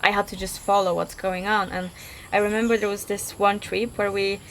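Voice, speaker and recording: A young woman from Poland, Polish-accented, answers questions in an interview at a middling pitch. She talks hesitantly, full of fillers and restarts. Faint background noise sits under her voice. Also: little pitch movement, moderate pace, rough recording. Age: 20s. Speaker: guest. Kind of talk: casual interview.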